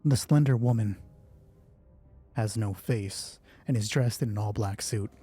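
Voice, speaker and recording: A man hosting a solo roleplay game, narrating it dramatically and deliberately in short phrases. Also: fairly low pitch, mellow, breathy edge, soft background music.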